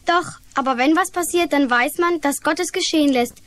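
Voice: high-pitched, moderate pace, fairly bright tone. A performer playing an earnest, trusting young girl in a children's radio play.

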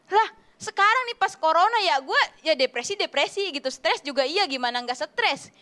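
A young woman doing a stand-up comedy routine in an animated, slangy storytelling way. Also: very high voice, normal speed. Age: young adult. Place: TV studio stage, indoors. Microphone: handheld microphone.

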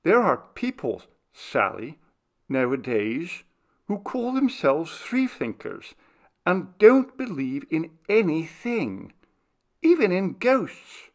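A man voicing a genteel young mistress, cosy and playfully fearful, in an animated storybook reading. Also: fairly high pitch, slow pace, voice pushed up, full character voice. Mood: musing.

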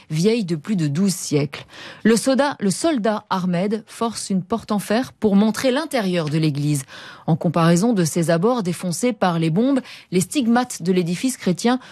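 A woman's voice: medium pitch, darkish tone, no room sound. A middle-aged woman, reading a scripted press review as a radio presenter at a close studio microphone. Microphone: studio desk microphone, close.

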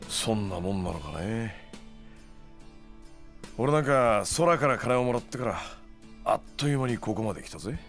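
Chill streetwise loan shark in a game, low voice, nonchalant, some music behind. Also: male voice, lively intonation, normal speed, pauses often. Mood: casual, persuasive. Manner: casual, nonchalant, rough-edged. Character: laid-back, streetwise male moneylender. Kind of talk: Japanese video game drama acting.

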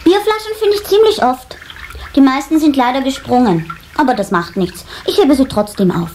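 A woman's voice: high voice, animated, moderate pace, a little mellow. An actress playing a stubborn, cheeky little water sprite boy in a children's radio play. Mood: casual. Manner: cheeky, defiant. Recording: slightly noisy old cassette transfer.

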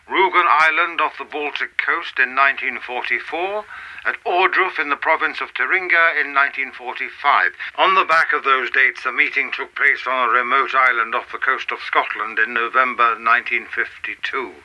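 An actor playing a mysterious, coolly menacing official in a radio play, with a formal, measured, insinuating manner. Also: male voice, mid-range voice, sharp tone, rough recording.

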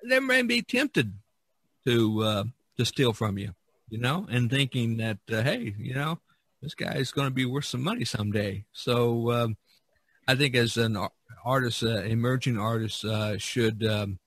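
Guy artist rambling thru a podcast chat, mid pitched voice, real dry sound. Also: lively intonation, unhurried, a bit bright, slightly rough, dry recording. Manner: rambling, explanatory, punctuated with "you know". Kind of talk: casual podcast discussion.

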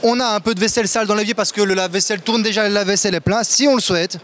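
A man in his thirties hosting a casual product demo at a trade fair, lively and questioning, with some stammers. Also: high voice, racing, slight background noise. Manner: lively, enthusiastic, asks questions, stammers at times. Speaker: kitchen store host. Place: large indoor trade fair hall. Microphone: handheld mic.

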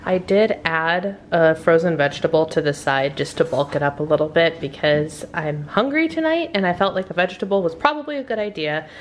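A woman's voice: fairly low pitch, expressive, fairly quick, hardly any pauses, a little hiss or hum. A woman narrating a casual cook-along in her home kitchen, chatty and upbeat, laughing at her own slip-ups. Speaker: home cooking vlogger. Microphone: camera over the counter, hands in frame.

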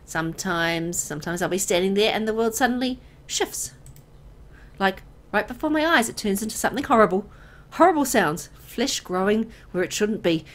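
A woman acting as an anxious young woman in a horror visual novel. Her pitch moves around a lot, and she speaks hesitantly, with unease. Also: a bit bright, close to an everyday voice. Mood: uneasy, troubled. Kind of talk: visual novel dialogue and first-person narration.

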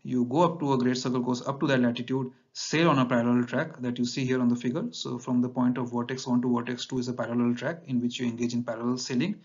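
A man teaching navigation over slides in a measured lecture, often pausing with fillers. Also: medium pitch, little pitch movement. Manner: measured, explanatory, with frequent fillers and restarts. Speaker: navigation instructor. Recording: dull lo-fi voice-over.

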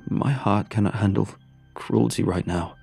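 A man's voice: on the low side, unhurried, creaky, a little mellow, slightly rough, dry recording, faint music behind, full character voice. A man voicing a grieving father who speaks shakily and breathlessly on the phone. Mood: desperate pleading. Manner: shaky, breathless, pleading.

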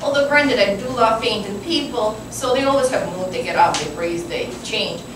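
A woman's voice: medium pitch, lively intonation, moderate pace. A woman with a Ukrainian accent talking informally as a painter on a panel, telling her story with asides and questions to herself, recorded from afar in a noisy, echoing hall. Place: large wooden hall with seated audience. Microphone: far camera. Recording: distant, very echoey, noisy room recording.

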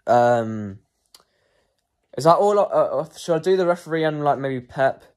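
A man's voice: a little high, expressive, a little slow, darkish tone. A young man giving casual post-match player ratings as a football fan, hesitant with frequent ums and self-corrections.

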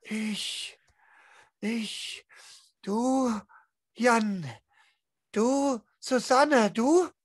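Man putting on a high, frightened, pleading woman. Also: dry recording, studio quality, full character voice. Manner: halting, repetitive, pleading. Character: terrified, confused stranded young woman, pleading. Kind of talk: tabletop roleplay acting.

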